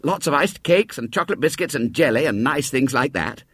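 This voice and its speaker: A man voicing an eager schoolboy who coaxes and pleads, in a husky voice. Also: mid-range voice, lively intonation, a little fast, a bit bright, no room sound, studio quality, voice pushed up.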